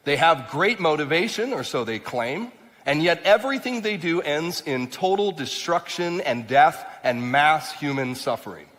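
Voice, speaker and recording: A man's voice: fairly high pitch, animated, normal speed, a bit bright. A man who grew up in Mexico and Ecuador, a congressional candidate giving an emphatic talk with slides at a church, often repeating words and rising on key points. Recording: long hall reverb.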